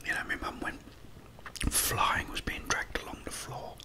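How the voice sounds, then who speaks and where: A middle-aged British man telling rambling, unhurried anecdotes as an ASMR host in between bites of food. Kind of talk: whispered ASMR storytelling while eating.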